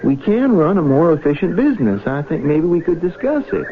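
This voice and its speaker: Noisy old radio, earnest younger brother. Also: male voice, fairly high pitch, big pitch swings, fairly quick. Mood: earnest, tactful. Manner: hesitant, conciliatory, folksy. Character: amiable, earnest college-educated younger brother. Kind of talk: 1970s radio drama acting. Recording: noisy, muffled vintage radio broadcast.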